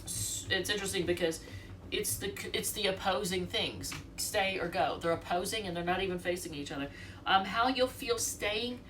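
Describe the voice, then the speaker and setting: A woman's voice: on the low side, a bit bright, recorded from a distance, a little hiss or hum. A congested woman from the United States doing a casual, chatty tarot reading and talking straight to her viewers. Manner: chatty, rambling, talks to viewers directly. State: congested from allergies. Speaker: tarot reader.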